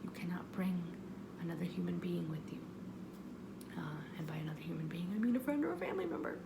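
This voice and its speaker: A woman's voice: lowish voice, animated, fast talker, gravelly, heavy background noise. A woman giving casual, rambling advice, often interrupting herself and repeating phrases for emphasis. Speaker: vlogger giving audition advice.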